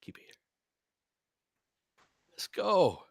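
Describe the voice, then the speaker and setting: A man's voice: extremely slow, no echo, clean studio sound. A man giving casual fan commentary and basketball analysis in an informal, conversational way, often checking for agreement.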